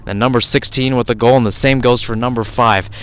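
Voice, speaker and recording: A man's voice: mid-range voice, fairly even intonation, brisk, fairly bright tone, smooth. A man calling a live soccer match as a radio play-by-play commentator, talking in a conversational, improvised way with asides and self-corrections.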